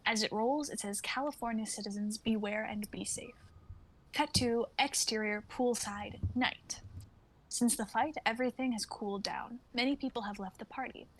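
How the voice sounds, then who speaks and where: A woman's voice: on the high side, normal speed, low-quality recording. A young adult woman reading stage directions aloud in a dramatic script reading.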